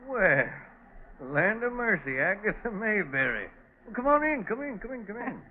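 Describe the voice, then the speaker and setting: A muffled old radio recording of a neighborly farmer. He hems and haws in a folksy way with very lively intonation, in 1940s drama style. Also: high-pitched, moderate pace, background noise. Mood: genial, folksy. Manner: folksy, hemming and chuckling. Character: folksy, genial male farmer, hesitant and neighborly.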